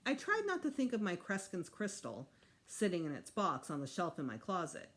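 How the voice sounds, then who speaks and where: A woman teacher reading a children's book aloud in an expressive narrating style. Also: lowish voice, normal speed.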